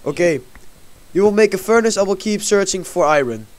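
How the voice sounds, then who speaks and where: A man's voice: sits high, lively intonation, a little fast. Young man narrating gameplay. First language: Dutch. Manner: chatty, narrates his moves to viewers. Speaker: gaming channel host. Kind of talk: casual gameplay commentary. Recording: faint background noise, mouse clicks.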